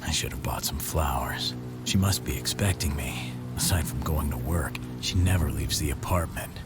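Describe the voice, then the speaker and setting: A man's voice: a bass voice, moderate pace, loud music behind the voice. An actor voicing a guarded, bitter man on the run who swears and mutters his thoughts in a whisper. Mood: brooding. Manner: curt, swearing, whispering inner monologue. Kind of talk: game voice acting.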